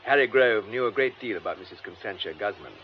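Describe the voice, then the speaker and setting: An actor playing a composed, methodical English police superintendent in a radio drama, with measured, formal, precise delivery. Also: male voice, on the low side, lively intonation, lightly acted. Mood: probing, composed. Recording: muffled lo-fi radio drama, faint noise.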